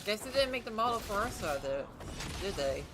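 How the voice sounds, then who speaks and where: A woman's voice: mid-range voice, very animated, brisk, background noise. A woman giving casual livestream game commentary, hesitantly musing aloud as she plays. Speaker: game streamer.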